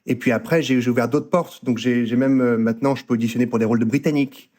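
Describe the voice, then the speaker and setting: A man's voice: medium pitch, fast talker. A man, an actor and voice coach, telling stories in a casual, playful interview chat. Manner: animated, playful storyteller.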